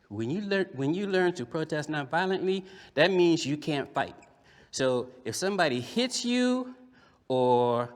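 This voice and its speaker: A man performs as a lively young boy in a puppet show, with a slightly high voice that swings widely in pitch. He tells his story emphatically, pausing for effect and speaking straight to young listeners. The sound rings with the long reverb of a hall. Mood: earnest. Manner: emphatic, deliberate pauses, conversational address to children.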